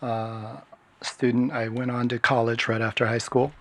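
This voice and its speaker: A middle-aged man from Washington State speaking as an interview guest, telling his story in a relaxed, hesitant way with many fillers. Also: medium pitch, normal speed. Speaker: guest, security consultant. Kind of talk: casual interview talk.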